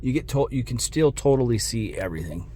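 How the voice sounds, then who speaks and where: A man's voice: mid-range voice, lively intonation, brisk, mellow, slight background noise. A man with an American accent giving a casual, plainspoken hands-on walkthrough as a vlogger. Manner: plainspoken, informal. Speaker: vlogger showing his vehicle radio install.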